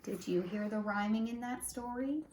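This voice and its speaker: A woman's voice: on the high side, moderate pace, darkish tone. A woman teaching early reading to young children, speaking in a sing-song way, asking questions and sounding out letters and words. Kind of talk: phonics lesson for young children, read-aloud. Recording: roomy echo, clear background noise.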